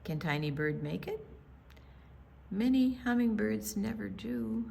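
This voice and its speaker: An American woman reading a picture book aloud for young children, with dramatic pauses, hushed asides and emphatic delivery. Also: mid-range voice, expressive, gravelly. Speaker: children's storytime reader at a library.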